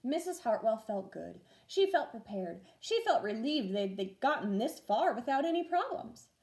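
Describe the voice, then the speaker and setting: A woman reading a children's storybook aloud as a teacher would, expressive and clearly paced for young listeners. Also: on the high side, normal speed. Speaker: first grade teacher reading stories aloud.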